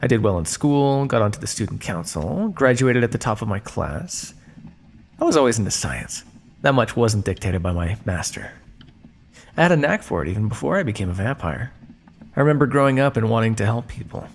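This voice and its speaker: A man playing a condescending, coolly menacing vampire scientist in an ASMR roleplay, speaking to his captive in a measured, teasing way. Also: fairly low pitch, sing-song, creaky, slight background noise. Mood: teasing, reflective. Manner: smug, measured, teasing, theatrical. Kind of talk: ASMR roleplay monologue addressed to listener.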